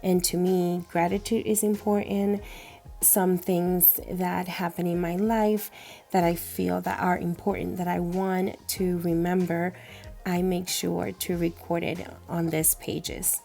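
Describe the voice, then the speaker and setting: A woman in her thirties from Puerto Rico, a native Spanish speaker with a Puerto Rican accent, gently and reflectively talking through her journal pages in a calm vlog voice-over. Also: lowish voice, gravelly, faint music behind. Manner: slow, gentle and reflective, with soft fillers. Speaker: journaling vlogger.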